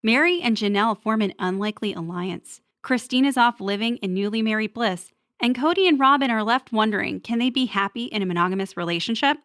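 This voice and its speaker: A woman reads promotional copy for a television show. Her voice is on the high side. The sound is very dry. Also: lively intonation, fairly quick, hardly any pauses, fairly bright tone, no room sound. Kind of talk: scripted promo read.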